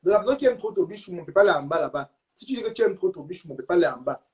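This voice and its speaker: A man's voice: high-pitched, animated, very fast, darkish tone. A man hosting a live stream, talking insistently and repeating his points while urging viewers to share. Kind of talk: heated live stream chat.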